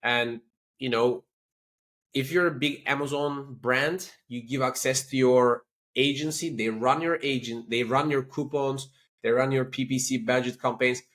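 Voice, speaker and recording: A man from Turkey with a Turkish accent, a company chief talking as a guest in a business interview, explaining things in an animated way with many "you know" and "like" fillers. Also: medium pitch, measured pace. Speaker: company CEO, podcast guest.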